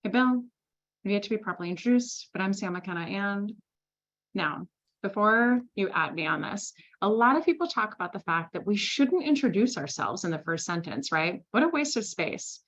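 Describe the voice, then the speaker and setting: A woman from Switzerland presenting a sales masterclass in a lively, conversational way, often asking "right?". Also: mid-range voice, normal speed, rough recording. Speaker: sales trainer.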